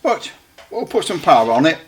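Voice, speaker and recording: A middle-aged or older man giving a casual hands-on demonstration, rambling a little, halting and often repeating himself. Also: on the high side, big pitch swings, low-quality recording. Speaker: radio hobbyist presenter.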